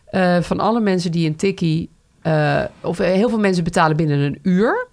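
A woman's voice: fairly low pitch, normal speed, darkish tone. A middle-aged woman with a Netherlands Dutch accent, chatting casually as a podcast host.

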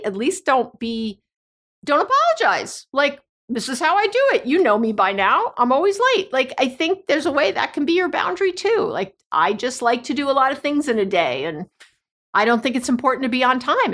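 Slightly hoarse chatty woman. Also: high voice, a bit bright. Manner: chatty, self-interrupting, laughs often. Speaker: podcast host and coach. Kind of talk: casual advice monologue.

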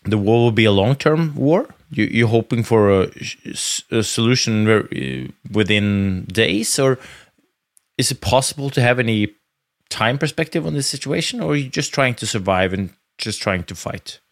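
A man's voice: medium pitch, expressive, normal speed, dry recording, clean studio sound. A man interviewing in a conversational way, earnest and hesitant, repeating words as he forms his questions. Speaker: podcast interviewer.

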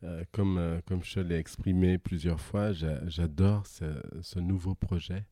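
A man's voice: sits low, fairly even intonation, unhurried, dark tone, dry recording, clean studio sound. A middle-aged man from Guadeloupe, a guest in a casual interview, talking hesitantly with many restarts and easy laughter.

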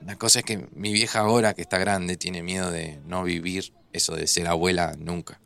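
An actor with an Argentine accent playing an emotional, teasing friend in a naturalistic audio drama, speaking colloquially. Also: male voice, low-pitched, steady tone, moderate pace, vocal fry, a bit bright. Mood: troubled, confiding. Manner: colloquial, conversational. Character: Santi, male friend reunited, emotional, teasing, guarded about family. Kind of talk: naturalistic audio fiction acting. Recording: music under the voice.

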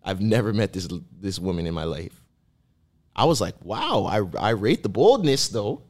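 A young man co-hosting a podcast, chatting casually and telling stories in slang. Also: moderate pace. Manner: animated storyteller, slangy, swings pitch widely. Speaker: podcast co-host. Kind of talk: casual chat.

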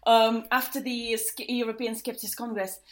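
Woman in her 30s from Latvia, podcast co-host, chatty, fairly high voice. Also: flat delivery, normal speed. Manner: chatty, lots of ums. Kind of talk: casual podcast chat.

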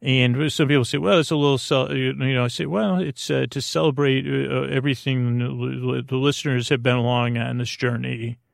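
Creaky-voiced man, soothing and meandering. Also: mid-range voice, fairly even intonation, dry recording, studio quality. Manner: meandering, halting, repeats and trails off; soothing, lulling. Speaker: podcast host. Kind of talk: rambling bedtime sleep podcast intro.

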